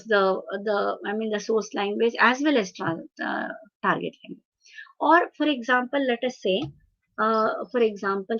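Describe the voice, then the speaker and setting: A woman from India with an Indian English accent and Marathi as her first language, lecturing on translation. She talks haltingly, with many fillers and restarts. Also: on the high side, highs cut off. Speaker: webinar speaker on translation. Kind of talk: lecture with audience questions. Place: video call webinar.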